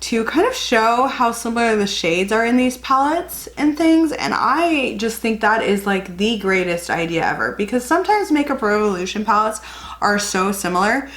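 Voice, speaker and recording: A woman's voice: fairly high pitch, lively intonation, normal speed, fairly bright tone. Young woman, slight cold, beauty vlogger, casual tutorial chat, rambling. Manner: chatty, rambling, self-correcting.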